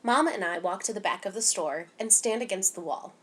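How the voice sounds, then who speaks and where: A woman reading a picture book aloud to children in an expressive, animated way, giving emphasis to the dialogue. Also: mid-range voice, a little fast. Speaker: children's story time reader.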